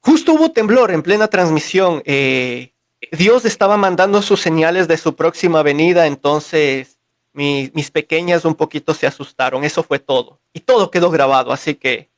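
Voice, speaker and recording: A man's voice: a little high, expressive, moderate pace. A man hosting a live call-in stream, chatting casually and thanking his callers. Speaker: livestream host.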